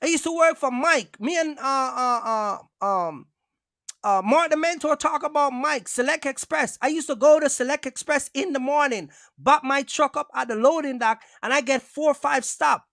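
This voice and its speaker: High-pitched man, emphatic casual vlogger. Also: moderate pace, a bit bright, no echo, clean studio sound. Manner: emphatic, repetitive, addresses listeners directly. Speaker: box truck owner-operator and vlogger. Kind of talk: casual, streetwise storytelling talk.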